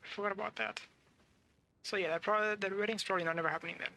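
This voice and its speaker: Bright, high-pitched male game streamer. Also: steady tone, fast, creaky. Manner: informal, thinking aloud. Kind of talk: casual gameplay commentary.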